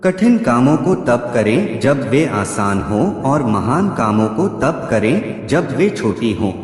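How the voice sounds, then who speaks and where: A male narrator reads motivational sayings in measured short phrases. His pitch moves in lively, expressive swings. The voice rings with a long, hall-like echo, and music plays behind it. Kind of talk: scripted motivational quote narration. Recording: echoey hall reverb with background music.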